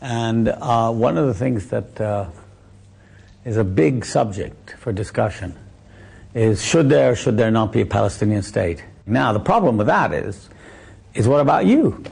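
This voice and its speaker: Gravelly, husky interviewer talking fast. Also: male voice, mid-range voice, animated, a little mellow. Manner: probing, informal questions. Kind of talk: conversational interview.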